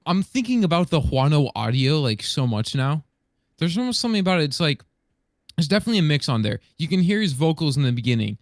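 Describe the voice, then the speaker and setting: A man's voice: a little high, very animated, quick pace, dry recording, clean studio sound. A man hosting a casual podcast discussion, speaking in an informal, rambling way. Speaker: podcast host.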